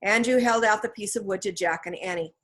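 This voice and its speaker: A woman narrates a children's chapter book at a medium pitch. Her reading is scripted and expressive, in the manner of an audiobook. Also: brisk. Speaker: audiobook reader.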